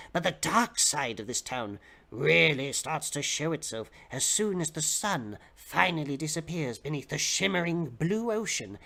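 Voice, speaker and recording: A man with a bright, forceful voice delivers a dramatic story reading. His pitch swings widely from phrase to phrase, and he treats the narration with theatrical, mock-serious weight. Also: vocal fry. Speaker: reader of comic fiction on a livestream. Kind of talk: dramatic audiobook-style reading.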